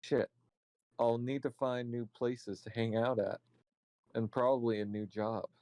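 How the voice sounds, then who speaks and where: A man reading visual novel narration aloud, halting at times and correcting his slips. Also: mid-range voice, fairly even intonation, a little mellow, no room sound.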